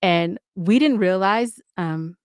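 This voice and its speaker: A woman's voice: medium pitch, slow pace, clear, resonant tone, dry recording. A middle-aged woman talking casually as a podcast guest, chatty and candid, with playful asides. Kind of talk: casual interview talk.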